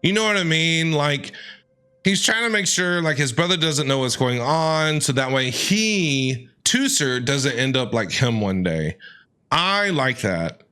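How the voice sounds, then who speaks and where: A man's voice: fairly high pitch, animated, a little slow, sharp tone, clear, resonant tone. A man giving casual, playful and exclamatory live reactions to a game, often mock-bossy, as a streamer.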